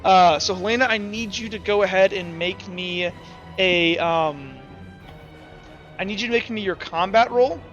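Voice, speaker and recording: A man's voice: high-pitched, a little slow, a bit bright, muffled recording, faint music behind. A man running a tabletop game as game master, rambling with frequent restarts.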